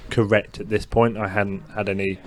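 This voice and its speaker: A young man with a mild stutter who co-hosts a podcast, telling a personal story in casual chat with many fillers and halting restarts. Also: lowish voice, level tone. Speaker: podcast co-host.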